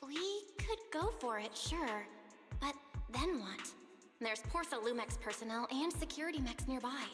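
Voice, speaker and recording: A woman's voice: high-pitched, expressive, normal speed, fairly bright tone, big-room sound, soft background music. An actress voicing a practical, caring young woman in a game, speaking matter-of-factly.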